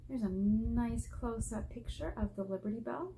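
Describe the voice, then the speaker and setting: A woman teacher reading aloud to children in an expressive way, asking questions and drawing out key words for emphasis. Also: on the high side, moderate pace, creaky. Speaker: elementary school teacher. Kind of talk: read-aloud lesson for children. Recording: faint background noise.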